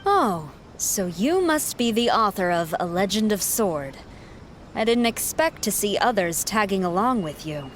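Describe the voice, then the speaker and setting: A woman's voice: mid-range voice, sing-song, a little slow, slight background noise. A woman voicing a composed female military commander who talks in a formal, matter-of-fact way.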